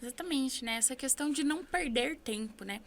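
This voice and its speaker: A woman's voice: high-pitched, lively intonation, a little fast, creaky. A Brazilian woman, an English teacher, chatting as a podcast guest and giving upbeat, encouraging advice. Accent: Brazilian Portuguese. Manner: upbeat, encouraging, tag questions. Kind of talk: casual podcast conversation.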